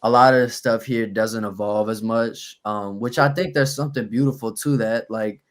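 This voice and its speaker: A young man with a naturally raspy, slightly low voice speaks as a podcast guest. He talks in a laid-back, unhurried way, pausing often to gather his thoughts. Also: fairly even intonation. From New Orleans, USA. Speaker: guest rapper. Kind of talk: casual podcast interview.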